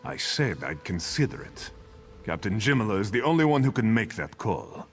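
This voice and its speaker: A man's voice: fairly low pitch, sing-song, moderate pace. An actor playing a brash, wry male privateer sailor in a fantasy video game. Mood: wry, businesslike. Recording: game music behind the voice.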